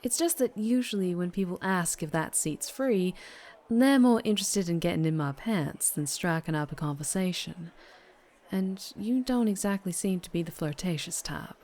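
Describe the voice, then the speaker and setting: A woman's voice: lowish voice, lively intonation, normal speed, a little mellow, close to an everyday voice. A woman playing a flirtatious, doting but fierce vampire biker in an ASMR roleplay, with a Southern US accent and a teasing manner. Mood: flirty, welcoming. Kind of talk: ASMR roleplay, intimate character monologue.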